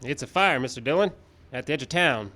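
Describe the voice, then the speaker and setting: A man's voice: medium pitch, animated, fast, sharp tone. A man playing a folksy, deferential sidekick to a lawman in a radio western. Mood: worried. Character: deferential, folksy deputy sidekick in the Old West. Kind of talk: old-time radio western acting.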